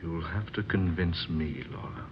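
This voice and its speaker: An actor playing a sceptical, aggrieved husband, formal and deliberate in an old-time radio drama manner. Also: male voice, low voice. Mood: defensive scorn. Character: sceptical, aggrieved husband, stiff and coldly insistent. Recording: muffled, faintly noisy old radio recording.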